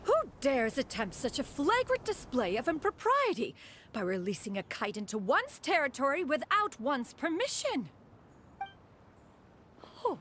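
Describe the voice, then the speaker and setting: An imperious immortal adeptus in a video game. Her voice is on the high side and swoops with indignation, and her wording is grand and archaic. A faint rumble sits behind her. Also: a bit bright, heavily acted. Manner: pompous, archaic, refers to herself as one. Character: haughty, proper, indignant female immortal adeptus. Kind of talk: video game cutscene acting.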